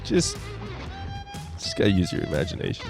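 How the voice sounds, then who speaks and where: A man's voice: fairly high pitch, huge pitch swings, normal speed, music in the background. A man co-hosting a podcast with slangy, joking, casual banter. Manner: jokey, slangy. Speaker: podcast co-host.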